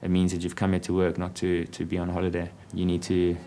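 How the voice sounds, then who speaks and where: A man with a low voice speaks fast in a flat, blunt monotone in a documentary interview. Faint background noise is heard. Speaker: model agency staff.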